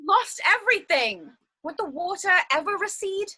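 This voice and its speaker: Woman acting a restless, bright, high-voiced dreamer. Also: very animated, normal speed, full character voice. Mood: bitter, restless. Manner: insistent, impassioned. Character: restless, yearning woman desperate to escape confinement. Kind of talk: stage play dialogue.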